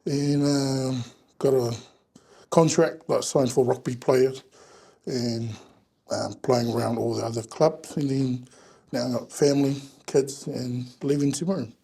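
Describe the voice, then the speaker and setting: Gravelly man. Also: medium pitch, unhurried. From Samoa. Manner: relaxed, plain-spoken, loose grammar. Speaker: events and marketing manager, guest. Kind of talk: casual interview chat.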